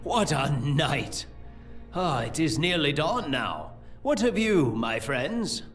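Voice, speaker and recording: An actor playing a gloating, treacherous priest, a grandiose and taunting villain in a fantasy audio drama. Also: male voice, fairly high pitch, sing-song, takes it slowly, a bit bright, soft background music, full character voice. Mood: sly. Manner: theatrical, taunting.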